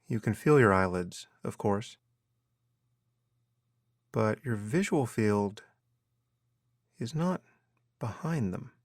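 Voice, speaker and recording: A man guiding a meditation as a teacher, giving measured instructions with unhurried pauses. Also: on the low side, animated, no echo, clean studio sound. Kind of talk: guided meditation narration.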